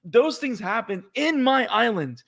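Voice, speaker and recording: A man from Puerto Rico, a native Spanish speaker with a Puerto Rican accent, talking excitedly as a podcast co-host in casual chat. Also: very high-pitched, measured pace, vocal fry. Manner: excited, repeats words when fired up.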